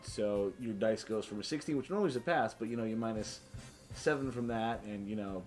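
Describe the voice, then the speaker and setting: A man's voice: lowish voice, a little fast, darkish tone, low-quality recording. Informal male game master over music. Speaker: game master and stream host. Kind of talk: casual game stream chat, explaining dice rules.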